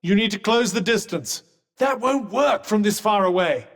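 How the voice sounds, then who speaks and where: A sardonic enchanted cuff for a video game: middle-aged, refined British male, fairly high voice, dry and pompous, drenched in long reverb. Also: expressive, moderate pace, fairly bright tone. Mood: brisk advice. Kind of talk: game companion combat barks.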